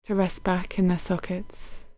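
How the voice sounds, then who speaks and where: A woman guiding a body scan meditation in a gentle, unhurried, soothing manner, letting her phrases end softly. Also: on the low side, level tone, dry recording. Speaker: meditation guide.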